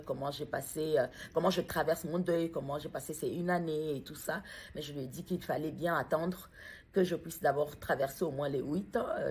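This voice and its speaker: Hesitant, halting woman giving a testimony. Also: deep voice, creaky, a little mellow, husky. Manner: hesitant, halting, searching for words; earnest, pressing questions. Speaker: bereaved mother speaking out. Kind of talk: personal video testimony.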